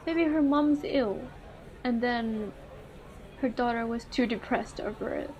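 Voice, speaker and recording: A woman's voice: on the high side, unhurried, darkish tone, a faint crowd, a little hiss or hum, low-quality recording. A woman playing a story game, thinking aloud in a tentative, musing way as she comments. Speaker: video game player reading dialogue aloud. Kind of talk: let's play commentary and dialogue read-aloud.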